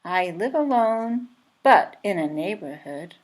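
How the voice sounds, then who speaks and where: A woman's voice: mid-range voice, animated, slow. A woman voicing perky, proud little wild bees from a children's storybook, in short declarations. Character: chirpy wild bees introducing themselves, storybook creatures.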